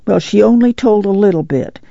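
A woman's voice: mid-range voice, a little fast, creaky, dark tone, no echo, lo-fi sound, pitched above the performer's own voice. A woman voicing an eager, anxious little farm boy in a storybook, rambling breathlessly. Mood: embarrassed. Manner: breathless, rambling rural dialect.

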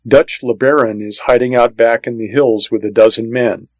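A man's voice: medium pitch, fairly even intonation, moderate pace, darkish tone, no room sound, lightly acted, rough recording. A man voicing a gunslinger's eager, gossipy sidekick in a western audiobook, talking in a folksy, informal way. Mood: eager, boastful.

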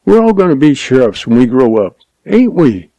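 A man's voice: mid-range voice, sing-song, moderate pace, mellow, dry recording, lo-fi sound. A man voicing an eager, wide-eyed boy full of admiration in a western story, with folksy talk. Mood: excited admiration.

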